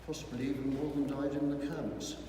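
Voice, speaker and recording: A man's voice: medium pitch, flat delivery, brisk. Elderly male survivor, earnest testimony, distant in a large echoing hall with background noise. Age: older. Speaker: Holocaust survivor speaker. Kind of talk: personal testimony talk. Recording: echoey hall, mic far off, noisy.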